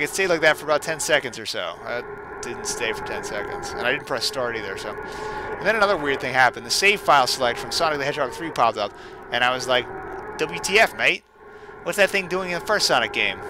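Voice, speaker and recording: Sarcastic male game streamer, noisy recording. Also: on the high side. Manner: sarcastic, self-deprecating asides. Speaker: host of a gaming stream. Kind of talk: casual gameplay commentary mixed with mock dramatic story reading.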